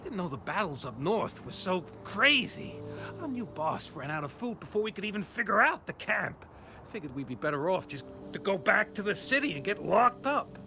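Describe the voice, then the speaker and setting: An actor voicing a rough, shifty bandit in a video game, with blustering, folksy slang and a grudging, bullying manner. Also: male voice, high voice, big pitch swings, normal speed, pushed and bright, faint music behind, full character voice. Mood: sheepish.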